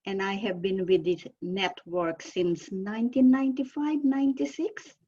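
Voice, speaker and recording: An older woman, a retired nurse, introducing herself slowly and steadily on a video call. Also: medium pitch, fairly even intonation, mellow, slightly rough. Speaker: retired nurse, support network member. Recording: dull lo-fi call audio.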